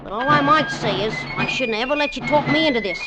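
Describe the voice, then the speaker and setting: An actor playing a nervous, grumbling young army drummer with a Cockney accent in an old-time radio play. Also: male voice, very high-pitched, quick pace, over loud music, poor audio. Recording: vintage radio recording.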